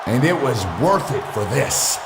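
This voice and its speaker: Brash wrestling schemer, echoey. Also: male voice, mid-range voice, sing-song, unhurried, creaky, background noise. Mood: smug, defiant. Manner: argumentative, emphatic. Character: brash, scheming male wrestling show boss. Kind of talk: video game wrestling cutscene promo. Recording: echoey room with music behind.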